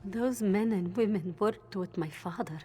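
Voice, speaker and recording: A woman playing an earnest young cat-folk mage haunted by grief in a fantasy game, speaking in a measured, halting and solemn way. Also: medium pitch, mellow, husky, faint music behind. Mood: somber recollection. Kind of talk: scripted fantasy game dialogue. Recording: faint background ambience noise.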